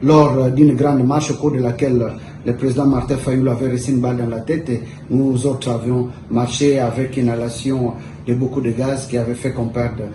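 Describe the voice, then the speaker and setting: Man, press statement, deliberate with pauses, echoey room, noisy background. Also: medium pitch, level tone. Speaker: member of parliament.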